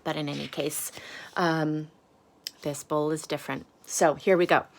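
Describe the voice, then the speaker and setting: Woman reading a kids book out loud, really slow, kinda low voice, stresses key words, little side comments. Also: steady tone, vocal fry, a little hiss or hum. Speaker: storybook reader. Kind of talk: read-aloud of a children's picture book with casual asides.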